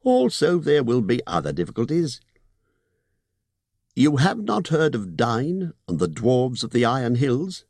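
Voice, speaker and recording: A man voicing a fussy, polite male hobbit burglar who talks in a businesslike, slightly squeaky way. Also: sing-song, a little slow, a little mellow, no room sound. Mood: persuasive.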